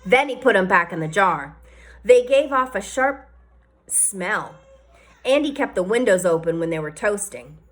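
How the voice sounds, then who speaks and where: Expressive woman reading a children's novel. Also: medium pitch, unhurried. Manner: expressive storytelling, varied emphasis. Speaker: teacher reading aloud.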